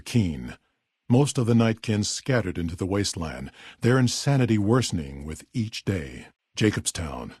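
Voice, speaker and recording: An actor playing a weary, level-headed super mutant town leader in a video game, with a deep, gravelly, husky voice and a blunt, measured, authoritative manner. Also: male voice, a little mellow, no echo, clean studio sound. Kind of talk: video game character voice lines.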